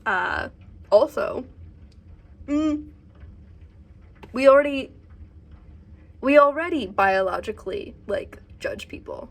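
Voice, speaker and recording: A woman chatting casually about books in a vlog, halting as she thinks aloud. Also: sits high, expressive, gravelly. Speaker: book reviewer and vlogger.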